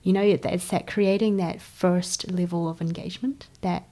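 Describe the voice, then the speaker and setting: A woman guest in an interview, speaking hesitantly with many pauses and fillers in a soft, breathy way. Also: lowish voice, fairly even intonation. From China. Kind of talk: casual interview conversation.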